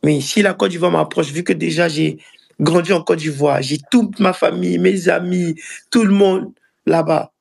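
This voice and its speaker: Young Ivorian man, higher-pitched, creaky voice. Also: steady tone, a little mellow. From Ivory Coast. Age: early 20s. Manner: halting, repeats phrases for emphasis. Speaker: professional footballer being interviewed. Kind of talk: casual interview answers.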